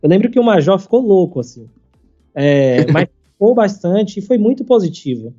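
A man, chatting casually as a podcast guest, halting and full of fillers and casual slang. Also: sits high, big pitch swings, mellow. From Salvador, Brazil. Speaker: startup founder, podcast guest. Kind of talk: casual conversational interview. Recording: slightly muffled, faint background music.

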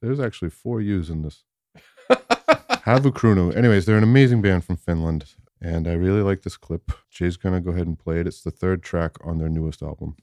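A man's voice: low voice, animated, brisk, a little mellow, no echo, studio quality. A man in his late thirties co-hosting a casual music podcast, rambling and self-deprecating with lots of fillers. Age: 30s. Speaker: podcast co-host. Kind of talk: casual chat.

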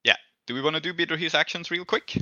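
Bright, forceful man running a tabletop game. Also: fairly high pitch, fast talker, dry recording, muffled recording. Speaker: game master. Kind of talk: casual tabletop game narration.

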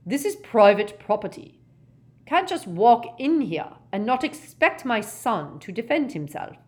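A woman's voice: lowish voice, expressive, unhurried. A woman voicing an aggressive, suspicious elderly man with curt, rustic speech in a storybook reading. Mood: aggressive, loud. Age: older. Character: gruff, aggressive, suspicious elderly male head of a squalid household.

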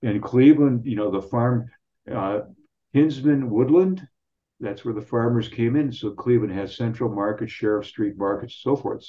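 An American man, a history professor, explaining informally in a halting, digressive way on slightly muffled audio. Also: medium pitch, dark tone. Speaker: history professor, radio guest.

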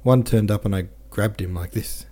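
A man reading the lines of a bold, cheeky male peasant scout, in a hurried, brash way. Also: on the low side, dark tone, close to an everyday voice. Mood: cocky.

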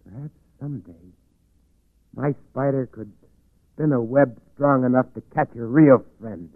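A man's voice: mid-range voice, a little slow, close to an everyday voice. An actor playing a lonely, eerie reclusive weaver who confides his brooding story in a 1940s radio drama. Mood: eerie wonder. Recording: muffled, tinny 1940s radio recording.

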